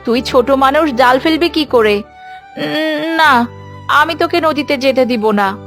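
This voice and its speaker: A woman acts out a poor village mother in a melodramatic cartoon. Her voice sits high and sounds plaintive and lamenting, with soft music underneath. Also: measured pace. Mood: anxious. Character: anguished poor village mother, newly widowed.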